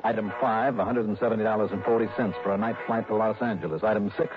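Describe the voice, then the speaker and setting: An American man playing a sharp, self-assured investigator in a 1960s radio drama, clipped and businesslike. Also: on the low side, steady tone, quick pace, natural voice. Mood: brisk, wry. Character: sharp, self-assured male insurance investigator narrating his case. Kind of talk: 1960s radio drama acting, first-person narration. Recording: dull vintage radio recording with music.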